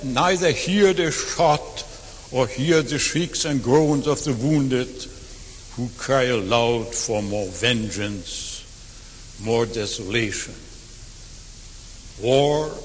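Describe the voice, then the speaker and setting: Elderly man in his eighties, German native speaker, very slow deliberate delivery, reverberant hall, faint hiss. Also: medium pitch. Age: 80s. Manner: slow, earnest, with emphatic swells and quiet drops. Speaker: war veteran speaker. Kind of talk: testimony talk to an audience.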